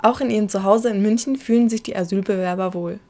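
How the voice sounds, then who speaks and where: A woman's voice: medium pitch, quick pace, warm tone. A woman reading scripted narration as the reporter of a news feature.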